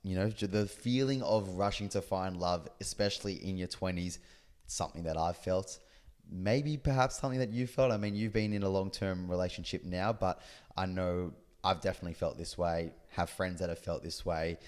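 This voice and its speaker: A man's voice: deep voice, normal speed, darkish tone. A man in his twenties co-hosting a podcast, friendly and easygoing, steering the casual chat. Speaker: podcast co-host.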